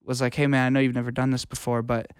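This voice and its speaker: A man with a clean, smooth voice and middling pitch talks in a casual interview style. He is earnest and colloquial, recorded close and dry with no room sound. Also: level tone, fast, no echo. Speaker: interview guest, audio engineer and music producer.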